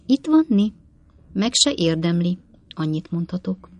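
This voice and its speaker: A woman's voice: fairly low pitch, big pitch swings, a little mellow, dull audio. Woman acting coy, teasing young storybook maid. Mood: teasing scolding. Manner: coquettish, exclaiming, mock-indignant. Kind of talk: audiobook character dialogue.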